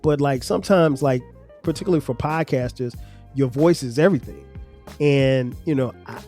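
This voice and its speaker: A man's voice: mid-range voice, normal speed, dark tone, faint music behind. A man with a South Carolina accent and a slightly nasal voice, rambling conversationally as a podcast host, a little congested. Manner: rambling, conversational, many restarts. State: congested sinuses. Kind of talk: casual solo podcast talk.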